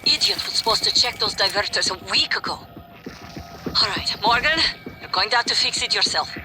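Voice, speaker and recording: An actress playing a brisk, irritable, practical woman engineer in a sci-fi game, with blunt, naturalistic delivery. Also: medium pitch, expressive, over music, natural voice, rough recording. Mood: irritated.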